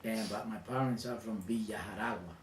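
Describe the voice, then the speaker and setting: A man in his fifties from the Dominican Republic with a Dominican accent, recounting his childhood in a reflective, hesitant way with frequent pauses. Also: on the low side. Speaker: interviewee. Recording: echoey room with faint ambient noise.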